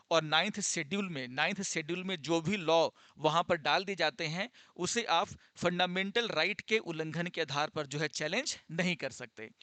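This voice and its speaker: Male exam coach: forceful bright tone, didactic explanatory delivery sprinkled with English terms, very dry recording. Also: sits high, no room sound. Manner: didactic, repeats key phrases and checks in with learners.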